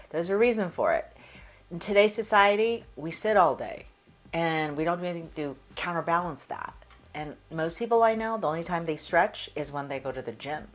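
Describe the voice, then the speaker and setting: An American woman chiropractor talking through a stretching routine, calmly guiding breaths and holds. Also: deep voice. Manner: calm, guiding with breathing cues. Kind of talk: instructional stretching demonstration.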